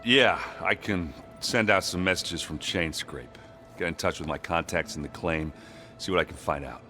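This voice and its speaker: A forceful, blunt game ally. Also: male voice, sits low, normal speed, faint music behind. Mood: resigned, helpful. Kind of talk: video game cutscene acting.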